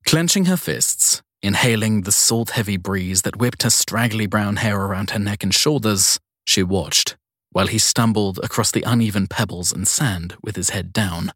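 A man with a fairly deep, husky voice narrates crime fiction as an audiobook. The sound is close and dry, with no room around it. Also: normal speed, fairly bright tone, no room sound.

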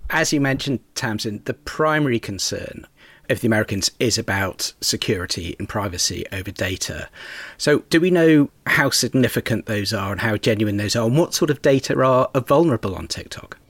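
A man's voice: fairly low pitch, expressive, fairly quick, no room sound. A man hosting a current affairs podcast, reading scripted introductions and putting questions to a guest. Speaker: podcast host. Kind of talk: scripted presenting and interviewing.

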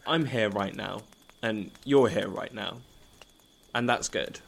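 A man playing a brave, tender half-elf adventurer in an audio drama, husky and gravelly, with an understated, teasing manner. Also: lowish voice, slow pace, exaggerated voice.

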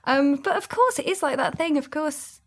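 A woman's voice: high-pitched, lively intonation, moderate pace. A British woman co-presenting a podcast in a chatty way, often ending statements with a tag question. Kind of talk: casual discussion of podcasting.

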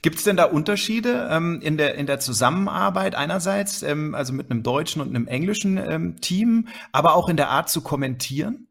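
Gravelly, higher-pitched male interviewer. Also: lively intonation, fairly bright tone. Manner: measured, formal questions.

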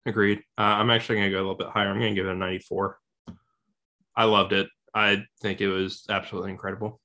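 A man's voice: on the low side, flat delivery, very fast, bright, forceful tone. A man hosting a casual film review podcast, upbeat and jokey as he steers the talk between segments. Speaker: podcast host.

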